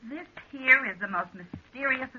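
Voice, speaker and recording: A big, sweet, flustered carnival lady in a radio play. Her voice is extremely husky and breathy as she coos in homespun phrases. The sound is dull, like an old broadcast. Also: high voice, moderate pace. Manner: cooing endearments, homespun grammar. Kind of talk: old-time radio drama acting.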